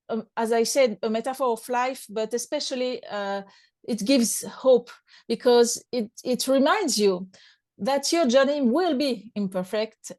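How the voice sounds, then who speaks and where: A woman with a French accent, talking as an interview guest, halting with many fillers and restarts. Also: fairly high pitch, darkish tone. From France. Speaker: author and guest. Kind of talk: conversational interview.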